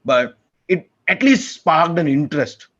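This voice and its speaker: A young man talks casually as a podcast guest. He sounds earnest and keen, his voice sits a bit high for a man, and he often restarts his sentences as he goes. The audio is a little muffled. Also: a little slow, a bit bright. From India. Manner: earnest, enthusiastic, rambling with restarts. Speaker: podcast guest, PhD student. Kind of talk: casual conversational interview.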